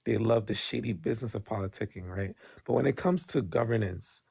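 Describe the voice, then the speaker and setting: A man with a low, gravelly voice, who grew up Nigerian, speaks bluntly in a casual podcast debate. He restarts his sentences often and keeps checking in with "right?". The sound is dry and close to the mic. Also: fairly quick, a little mellow, dry recording. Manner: candid, blunt, frequent restarts and "right?" tags.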